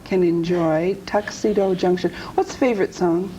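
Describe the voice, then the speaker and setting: A woman's voice: lowish voice, moderate pace, mellow, muffled recording, slight background noise. A woman hosting a talk show in a chatty interview style.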